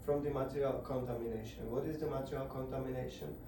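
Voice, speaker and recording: A man giving a devotional scripture lecture to a group indoors, halting and searching for words as he explains. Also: medium pitch, fairly even intonation, dark tone, a little hiss or hum. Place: indoor gathering room. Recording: very echoey room, voice far off.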